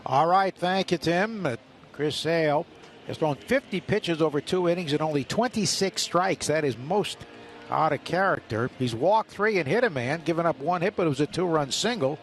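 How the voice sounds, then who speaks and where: A man with a slightly high voice delivers live baseball play-by-play as a radio announcer. Faint outdoor ballpark noise sits behind him. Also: lively intonation, normal speed.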